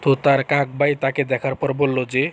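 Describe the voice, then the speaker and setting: A man's voice: medium pitch, monotone, normal speed. A man narrating a cartoon folk tale in a simple, repetitive storytelling manner.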